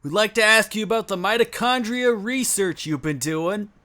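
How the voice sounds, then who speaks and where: A woman's voice: mid-range voice, normal speed, sharp tone, lightly acted. A woman in a fan dub playing an earnest, inquisitive police officer with a businesslike way of questioning. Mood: businesslike curiosity. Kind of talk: fan dub voice acting of a video game scene.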